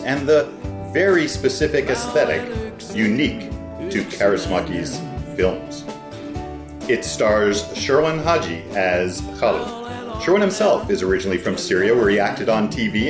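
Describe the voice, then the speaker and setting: A man interviewing a guest at a film festival, reading a scripted intro and then asking animated questions. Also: lowish voice. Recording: roomy echo with faint music.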